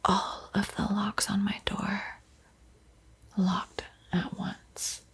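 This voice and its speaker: A woman whispers her way through an ASMR tutorial in a very breathy, airy voice. She goes slowly and softly, with a playful, teasing hint of spookiness. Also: medium pitch, completely flat delivery. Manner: soft, unhurried, playfully eerie and teasing. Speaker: art tutorial host.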